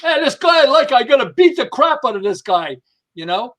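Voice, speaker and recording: A man with a high-pitched voice speaks as an expert guest in a podcast conversation. He explains through anecdotes in an animated but halting way, breaking off and picking up his sentences again. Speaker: podcast guest, behavioral management specialist.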